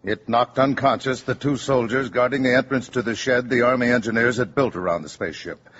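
An actor playing a dutiful army colonel giving a formal, factual report in a 1950s radio drama. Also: male voice, medium pitch, a little fast, fairly bright tone, husky. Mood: formal, serious. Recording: dull 1950s radio recording.

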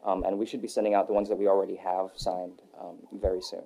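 A young adult man answering interview questions in a relaxed, rambling way, with many fillers and restarts. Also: sits low, fast, gravelly. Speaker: voice actor guest. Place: Texas.

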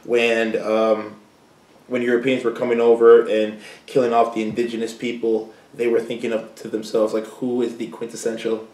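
A young man co-hosting a casual chat, animated and slangy, laughing easily. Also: mid-range voice, normal speed. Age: young adult. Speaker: co-host. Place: small room indoors, seated side by side. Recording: roomy echo, mic at a distance.

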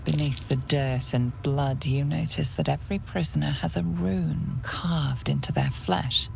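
Low, creaky fantasy game narrator. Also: female voice, steady tone, unhurried, slight background noise, full character voice. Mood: sombre, measured. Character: sombre, measured female narrator of a dark fantasy. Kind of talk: scripted second-person game narration.

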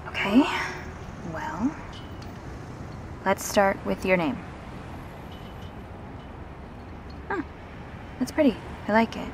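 A woman playing a gentle, reassuring revenant in an intimate audio roleplay, speaking earnestly and haltingly. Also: mid-range voice, big pitch swings, background noise. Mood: relieved, friendly. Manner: halting, earnest, soft-spoken pauses.

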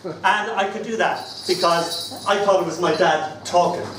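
A man's voice: high-pitched, fairly even intonation, moderate pace. An older man with an Irish accent, hosting a poetry open mic with theatrical, rambling storytelling. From Ireland. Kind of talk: live storytelling and poetry performance. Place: small indoor venue stage. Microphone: far camera. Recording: roomy, noisy, distant camera recording.

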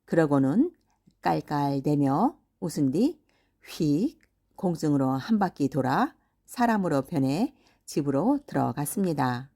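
Woman, audiobook storyteller, scripted folktale narration, dark warm tone, slow deliberate delivery. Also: deep voice, very animated.

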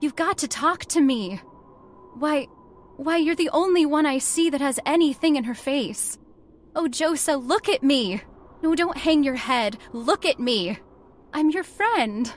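An actress playing an earnest, headstrong factory heiress in a radio horror drama, with an emphatic, theatrical delivery. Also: female voice, high voice, lively intonation, unhurried. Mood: coaxing, pleading. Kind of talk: old-time radio horror drama acting.

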